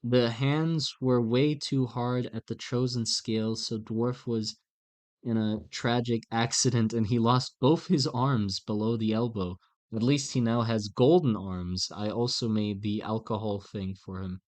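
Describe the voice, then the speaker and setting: A man chatting casually on a live stream while he draws, in a halting, self-mocking way. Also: mid-range voice, steady tone, a little mellow.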